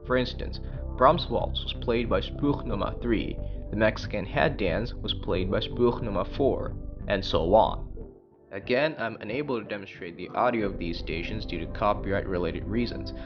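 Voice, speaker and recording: Low-key man narrating an explainer. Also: moderate pace, highs cut off, music in the background. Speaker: video creator and narrator.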